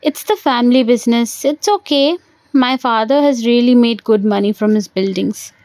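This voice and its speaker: A woman's voice: fairly high pitch, moderate pace, a little mellow, dry recording, lightly acted. A woman voicing a polite, curious young man, a prospective son-in-law, in an audio play. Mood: earnest, modest. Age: young adult. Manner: earnest, deferential, questioning.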